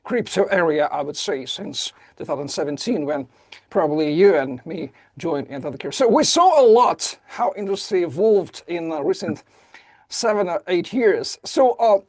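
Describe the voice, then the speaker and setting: A man who speaks Russian natively hosts a podcast interview, enthusiastic and a little halting in his phrasing. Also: high voice, animated, no room sound. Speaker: podcast host. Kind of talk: casual interview hosting.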